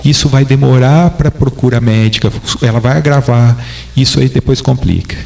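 A man's voice: mid-range voice, moderate pace, vocal fry, a little mellow. A man with a Brazilian Portuguese accent, a doctor answering interview questions in a patient, didactic way. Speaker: doctor guest.

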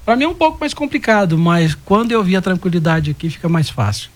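Fifties male guest, fairly high voice, modest and slightly nervous. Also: very animated, quick pace. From Goiânia, Brazil. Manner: modest, a little nervous about public speaking. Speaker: radio guest.

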